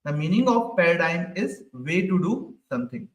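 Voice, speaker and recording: A high-pitched man runs a live online coding class. He keeps asking the class questions and tags "right?" onto his sentences. His voice sits a little away from the mic and rings in an echoey room. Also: very animated, normal speed, far from the mic. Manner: repeats questions to the class, ends lines with "right?". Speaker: programming instructor.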